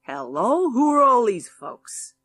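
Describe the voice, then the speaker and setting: A burly American dad from a children's storybook, put on by a woman narrator. He is loud, jovial and folksy, with a brash American accent. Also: fairly high pitch, big pitch swings, slow pace, no echo, voice pushed up, heavily acted. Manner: hearty, folksy.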